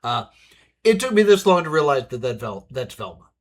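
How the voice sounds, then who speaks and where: A man's voice: mid-range voice, sing-song, a little fast. A man giving casual live reaction commentary as a let's play streamer.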